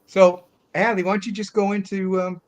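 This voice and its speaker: A man's voice: sits high, level tone, moderate pace. A man hosting a discussion show in casual, informal chat with loose phrasing. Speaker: host of a movie discussion show.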